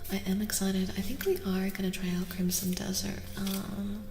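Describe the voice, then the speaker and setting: Female ASMR livestream host, soft-spoken and breath-light, mid pitch, casual chatty asides, echoey sound over music. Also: flat delivery, moderate pace, a little hiss or hum. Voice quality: soft, often whispery.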